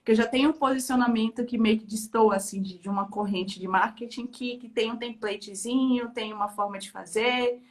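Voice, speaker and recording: A woman's voice: fairly high pitch, brisk, hardly any pauses. A woman from Bahia with a Bahian accent tinged by Rio, a communication coach talking casually as a guest. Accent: Bahian with Rio touches. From Bahia, Brazil. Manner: relaxed, self-explaining, frequent "né". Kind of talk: casual interview chat.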